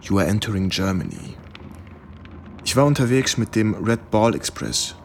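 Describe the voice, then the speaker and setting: An actor playing a sober American army lieutenant in a radio drama. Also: male voice, fairly low pitch, sing-song, normal speed, creaky, a little hiss or hum. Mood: recounting.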